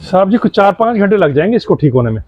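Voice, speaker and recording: High-voiced crooked mechanic played by a man. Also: sing-song, quick pace, darkish tone, no echo, lo-fi sound. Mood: glib, reassuring. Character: crooked, glib motorbike mechanic, shop boss. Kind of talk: acted moral-story skit.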